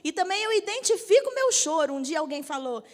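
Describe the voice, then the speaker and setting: Brazilian woman, 50s, high voice, lively church teacher, long hall reverb. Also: a little fast. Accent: Brazilian Portuguese. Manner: lively, jokes with the audience, asks for agreement. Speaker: bishop.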